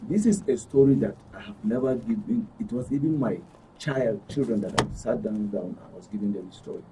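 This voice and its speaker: A man in his fifties with a Northern Nigerian accent, telling a personal story haltingly and repeating phrases. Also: fairly low pitch. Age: 50s. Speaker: panel guest. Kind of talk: personal storytelling in a studio discussion. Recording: distant mic with faint noise.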